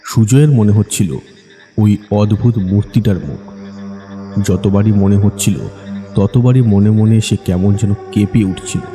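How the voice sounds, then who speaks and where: A man's voice: fairly low pitch, fairly even intonation, unhurried. A man narrating a scripted ghost story for an audiobook, with long reverb on his voice. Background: soft music.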